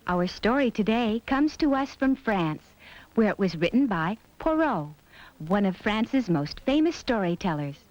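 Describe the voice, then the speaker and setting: A woman's voice: medium pitch, sing-song, unhurried, a little mellow, dry recording. A woman narrating a children's fairy tale from a script, as a storyteller. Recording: dull audio with music behind. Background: music.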